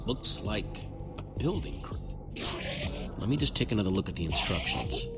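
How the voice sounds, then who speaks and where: A man playing an earnest, plucky young adventure hero in a video game, lightly wry, with very loud music behind him. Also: on the low side, sing-song, normal speed. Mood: focused. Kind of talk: natural video game voice acting.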